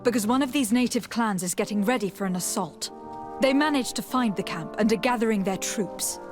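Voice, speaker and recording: A woman's voice: mid-range voice, a little fast, natural voice. A woman playing an assertive, composed noblewoman diplomat in a video game, speaking formally and directly. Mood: formal, resolute. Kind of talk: video game dialogue acting. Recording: music behind the voice.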